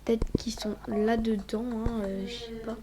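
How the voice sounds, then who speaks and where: A woman's voice: fairly high pitch, level tone, a little slow, a crowd in the background. A woman with a cold, sniffling as she chats casually over her gameplay, rambling and often dropping to a whisper. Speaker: gaming vlogger.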